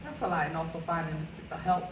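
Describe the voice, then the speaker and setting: Woman guest, caterer, rambling casual talk; lowish voice, roomy, noisy, off-mic recording. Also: expressive, a little fast, distant mic. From Philadelphia. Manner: chatty, rambling, with many fillers. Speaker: caterer and realtor, interview guest. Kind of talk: casual interview conversation. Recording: echoey room, off-mic, noisy.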